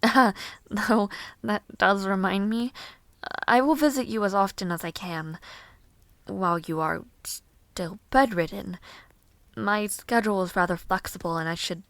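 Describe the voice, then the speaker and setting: A woman voicing a gentle young noblewoman who looks after a bedridden stranger, speaking formally and politely, with shy laughs. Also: mid-range voice, a little slow, dry recording. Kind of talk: audio roleplay addressed to the listener.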